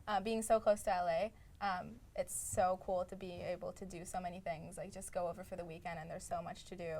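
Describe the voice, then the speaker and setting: A woman's voice: fairly low pitch, a little mellow. Chatty young woman from Italy. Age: young adult. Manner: chatty with frequent "um". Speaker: guest, international student. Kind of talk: casual interview answers.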